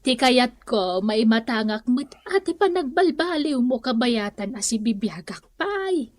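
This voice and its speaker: Radio drama mother played by a woman: high voice, long-suffering, scolding, Filipino accent, dry studio sound. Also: sing-song, measured pace, no echo. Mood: pleading, scolding. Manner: scolding, pleading, sighing. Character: nagging, long-suffering mother and wife, earnestly advising. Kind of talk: Ilocano comedy radio drama acting.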